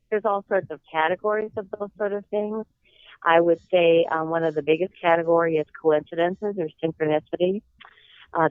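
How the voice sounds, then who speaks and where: A woman in her sixties with a slight cold-hoarseness, telling personal stories in an earnest, reflective way as an interview guest. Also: low voice, dry recording. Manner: earnest, reflective, with some hesitant fillers. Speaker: guest author. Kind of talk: interview storytelling. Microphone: telephone. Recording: tinny phone line.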